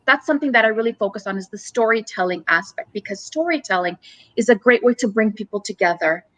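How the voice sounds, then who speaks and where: A woman's voice: mid-range voice, lively intonation, fast, a bit bright, no echo. A woman originally from Romania, a company CEO, speaking as a guest on a live-streamed interview and explaining her ideas earnestly, often listing points one after another.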